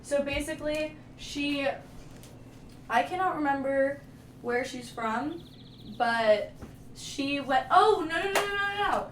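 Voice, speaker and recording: Young woman vlogger, rambling casual chat, slightly high voice, faint background noise. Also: slow pace. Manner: rambling, lots of "like", informal slang. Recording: echoey room, mic at a distance, faint noise.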